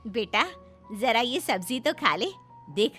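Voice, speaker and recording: A woman's voice: a little high, lively intonation, slow, sharp tone, faint music behind, full character voice. A woman voicing a caring but possessive, nagging middle-aged mother-in-law in a cartoon story.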